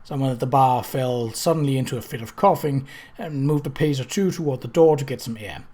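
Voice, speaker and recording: A measured narrator reading a novel aloud. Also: medium pitch, dark tone. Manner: literary, measured storytelling with character dialogue. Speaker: audiobook reader.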